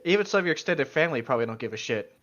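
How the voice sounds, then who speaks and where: A man's voice: a little high, expressive, very fast, a bit bright. A man co-hosting a casual chat, teasing and joking wryly with some swearing. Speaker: co-host reading and mocking fan fiction. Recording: slightly dull, lo-fi mic.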